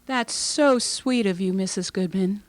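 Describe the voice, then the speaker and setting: A woman's voice: medium pitch, a little slow, dry recording, close to an everyday voice. A woman playing a sweet, engaged young fiancée in an old-time radio drama. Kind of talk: Golden Age radio drama acting.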